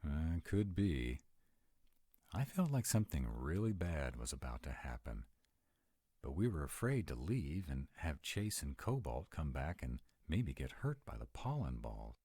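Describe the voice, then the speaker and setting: Vampire for audio drama, male actor: dutiful, protective, very low register, lively inflection, close dry sound. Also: measured pace, breathy edge, dry recording, heavily acted. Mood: troubled, puzzled. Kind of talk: roleplay audio drama acting.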